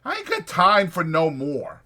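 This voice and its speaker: A man performs a wiry, bossy drifter in an audiobook story. The voice is lifted and lively, with brisk, insistent, folksy talk and an irritable, protective edge. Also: on the high side, pitched above the performer's own voice, full character voice. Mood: impatient. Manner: brisk, insistent, colloquial delivery. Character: small, sharp, irritable male ranch drifter, bossy yet protective.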